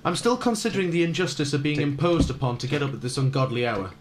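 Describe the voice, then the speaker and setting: Weary, wordy actor as a reluctant riser. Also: male voice, a little high, sing-song, fast. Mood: weary, grudging. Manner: wordy, formal, introspective. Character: weary, fussy man grudgingly waking too early. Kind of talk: radio play monologue.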